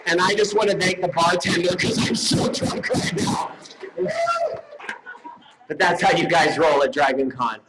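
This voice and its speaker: A man's voice: on the high side, steady tone, unhurried, long pauses. A playful, showy man presenting an award live on stage and joking around at the microphone. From United States, California. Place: convention stage. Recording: noisy live event microphone.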